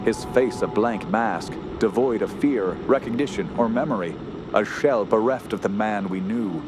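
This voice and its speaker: A man's voice: on the low side, moderate pace, background noise, lightly acted. A man playing a somber storyteller, narrating a radio horror drama in a grave, literary manner.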